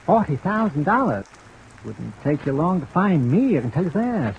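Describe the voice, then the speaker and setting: Radio-drama rustic innkeeper type: highish voice, dry and opinionated, faint rain over an old recording. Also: male voice, big pitch swings, normal speed, vocal fry, highs cut off, a little hiss or hum. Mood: dry, grumbling. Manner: dry, countrified, clipped and opinionated. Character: plain-spoken, folksy rural New England convalescent home keeper.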